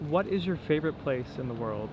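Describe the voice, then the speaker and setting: A man interviewing a guest, asking short questions with quick, upbeat reactions. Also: fairly high pitch, animated, darkish tone, rough recording. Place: outdoors on a city street. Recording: outdoor street noise.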